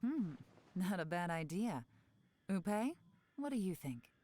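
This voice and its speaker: A woman playing a cool, shrewd intelligence operative in a video game, composed, knowing and deliberate. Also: fairly low pitch, big pitch swings, mellow. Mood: probing.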